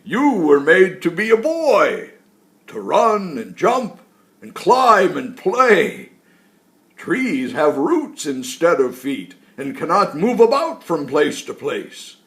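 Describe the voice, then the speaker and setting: A man's voice: on the high side, very animated, slow pace, exaggerated voice. A man voicing a jolly, wise old tree from a storybook, hearty and exclamatory, given to chuckling. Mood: kindly, hearty. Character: jolly, wise old talking tree, deep and woody-sounding. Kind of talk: storybook character voice.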